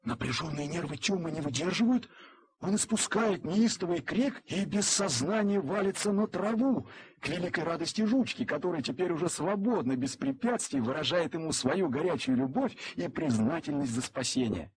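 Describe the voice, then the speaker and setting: A man narrating a scripted story as a dramatic, expressive and suspenseful storyteller. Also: a little high, normal speed.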